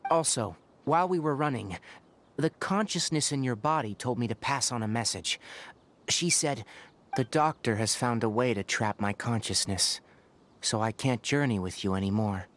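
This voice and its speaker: A man playing a calm, thoughtful young forest scholar in a video game, speaking in a measured, articulate way. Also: medium pitch, close to an everyday voice. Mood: grave, marveling.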